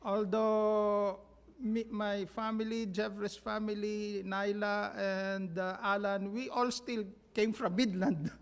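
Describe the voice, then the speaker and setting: A man with a Filipino accent giving a mission trip report to his church, halting with many fillers and sounding sluggish from jet lag. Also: high voice, little pitch movement, smooth. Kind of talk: church announcement talk. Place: church sanctuary.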